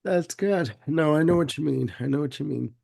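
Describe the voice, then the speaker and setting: A man's voice: fairly high pitch, moderate pace, creaky, dark tone. A man with a head cold hosting a casual music chat, sounding congested, laid-back and given to repeating himself. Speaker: podcast host.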